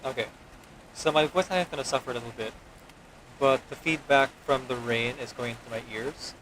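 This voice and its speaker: A man's voice: mid-range voice, moderate pace, a bit bright, poor audio. A man chatting conversationally as he streams a game, reading dialogue aloud. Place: indoors with storm outside. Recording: faint rain storm noise behind.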